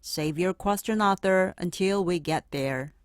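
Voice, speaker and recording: A woman's voice: on the low side, unhurried, clear, resonant tone, no room sound, clean studio sound, lightly acted. A woman voicing a stern, busy male schoolteacher in a storybook, firm and instructive as if addressing a class. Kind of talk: storybook character voice.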